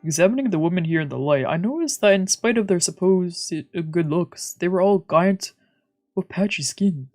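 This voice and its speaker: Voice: mid-range voice, animated, moderate pace, a little mellow. A streamer reading visual novel narration aloud, with casual asides, while music plays behind. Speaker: streamer, let's player.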